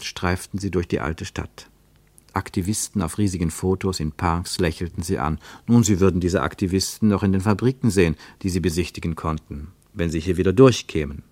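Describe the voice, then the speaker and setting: A man narrating a literary radio drama in a measured, storytelling way. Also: deep voice, a little mellow, no echo.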